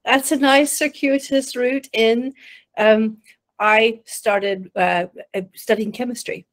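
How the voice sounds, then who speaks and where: A woman's voice: a little high, steady tone, slow pace. A woman in her fifties hosting an interview over a video call from an indoor room. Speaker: host, guild chairperson. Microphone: computer mic on a video call.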